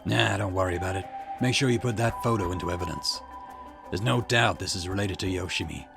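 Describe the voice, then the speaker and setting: A man's voice: deep voice, brisk, gravelly, music in the background. A man voicing a curt, irritable police boss in visual novel dialogue, commanding and exasperated.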